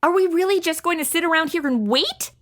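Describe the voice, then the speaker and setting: An actress playing a sassy, excitable modern young woman in a comedy audio drama, blurting sarcastic remarks in casual speech. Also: extremely high pitch, fairly quick, soft background music. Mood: indignant.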